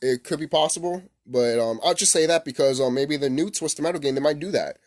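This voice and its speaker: A man's voice: on the high side, a little fast, a little mellow. A man giving a casual, unscripted opinion rant as a gaming commentator, blunt and repetitive with heavy swearing.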